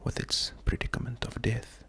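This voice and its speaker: A man doing a slow, soft-spoken ASMR poetry reading, gentle and calming, half-whispered with a breathy, creaky voice. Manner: gentle, unhurried, calming, often repeating words. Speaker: ASMR host.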